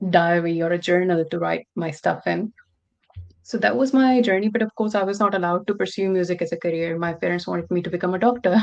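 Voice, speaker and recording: A woman's voice: on the low side, a little mellow, lo-fi sound. A young woman from Pakistan, a podcast guest telling her story in an earnest, reflective way. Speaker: guest musician. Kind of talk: podcast interview.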